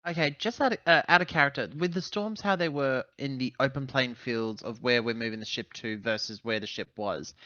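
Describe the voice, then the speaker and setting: A man playing a tabletop roleplaying game, asking questions in halting, deliberate, wordy phrases. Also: mid-range voice, bright, forceful tone, no room sound. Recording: dull, dry voice-chat microphone.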